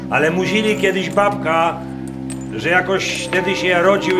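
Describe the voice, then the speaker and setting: An actor in a radio play voicing a humble, pious prisoner of about eighty, with a Masurian rural dialect and a folksy storytelling way. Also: male voice, fairly high pitch, natural voice. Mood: simple, matter-of-fact. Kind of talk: radio drama acting. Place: wooden barn, live performance. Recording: loud guitar music behind voice. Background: loud guitar music.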